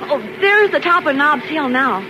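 An anxious, curious American schoolgirl, very high-voiced and lively. She speaks in earnest vintage radio serial style on a faintly noisy old recording. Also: moderate pace. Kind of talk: 1940s radio serial acting.

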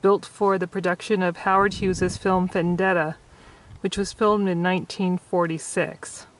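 A woman's voice: fairly low pitch, little pitch movement, normal speed, rough recording. A woman chatting cheerfully on an outdoor walk, reading old signs aloud and commenting on the scenery. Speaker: vlog co-host. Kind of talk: casual travel vlog chat. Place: outdoors on hiking trails. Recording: outdoor camera audio with wind noise.

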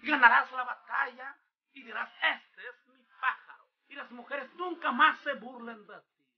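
A performer voicing a swindling male preacher, delivering a grandiose, booming mock sermon on a dull lo-fi recording. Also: measured pace. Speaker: comic character pastor.

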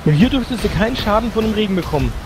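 A young man playing a video game with a friend, chatting casually and swinging between mumbling and excited yelling. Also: sits high, rapid-fire, noisy background. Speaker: let's play host. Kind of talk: casual co-op gaming chat.

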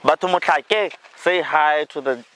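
A man's voice: on the high side, unhurried, fairly bright tone, poor audio. A man hosting a vlog, casually walking through an outdoor campsite and explaining it with easy fillers, recorded on a handheld camera outdoors. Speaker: vlog host. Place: outdoor campsite in dry bush. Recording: outdoor camera audio with clear noise.

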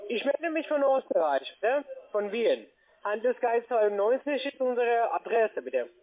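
A man with a foreign accent speaking non-native German, giving a halting phone sales pitch as a call centre agent and often checking with short tag questions. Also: big pitch swings. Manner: halting, broken grammar, checks with "ja?" and "ne?". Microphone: telephone. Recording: muffled narrow phone line.

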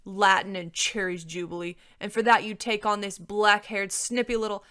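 A woman's voice: on the high side, measured pace, pushed and bright, voice pushed up. A woman voicing a large, stuffy male dragon in a storybook, grumpy and scornful in manner. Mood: disdainful.

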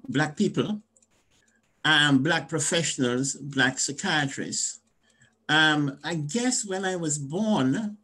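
An older man with a husky voice, pitched slightly high for a man. He speaks very slowly and deliberately, opening a speech. It reaches us through a video call from his home. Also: rough recording. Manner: slow, deliberate, warm opening. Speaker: invited speaker. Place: room at home, indoors.

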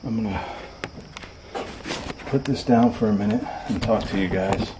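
Hushed middle-aged man on a night ghost hunt. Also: on the low side, normal speed, background noise. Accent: Floridian American. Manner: hushed, jittery, talking to viewers while exploring. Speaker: paranormal explorer vlogger. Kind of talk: solo ghost-hunting vlog. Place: abandoned wooden shed at night, indoors.

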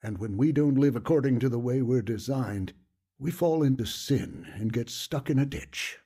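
An actor playing a patient male pastor in an audio drama, earnest and gently instructive with a husky voice. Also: mid-range voice, normal speed. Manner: earnest, gently instructive, quotes scripture solemnly. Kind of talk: audio drama dialogue, preaching.

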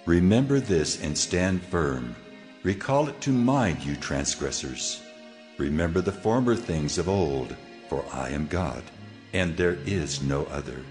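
God in a dramatized scripture reading. The voice is very deep, measured and full of solemn authority. Faint music runs underneath. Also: male voice, animated, a little mellow. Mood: solemn, commanding. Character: God, solemn, commanding male deity speaking to his people.

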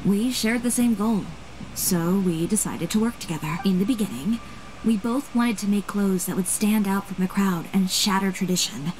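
A woman playing a proud, determined young fashion designer in a video game, composed and measured. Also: medium pitch, darkish tone, slight background noise, natural voice, poor audio.

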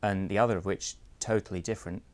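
A man with a very deep voice explains a project in measured, matter-of-fact terms. His intonation stays flat and even. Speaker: app publisher. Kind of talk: interview explanation.

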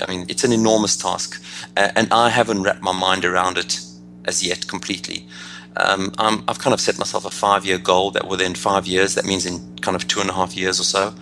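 A man's voice: deep voice, creaky, background noise. A man in his thirties with a South African accent, answering interview questions analytically with many restarts and fillers. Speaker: guest trader.

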